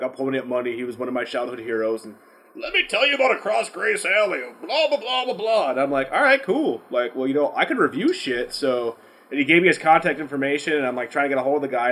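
A man chatting casually as a podcast host, talking in a rambling, slangy way with plenty of swearing. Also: medium pitch. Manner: profane, slangy, animated rambling. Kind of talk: casual unscripted podcast chat.

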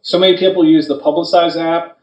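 A man's voice: on the high side, fairly even intonation, a little fast, lo-fi sound. A man co-hosting a podcast, talking casually and bluntly in slangy, unscripted language. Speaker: podcast co-host. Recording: roomy, distant from the microphone.

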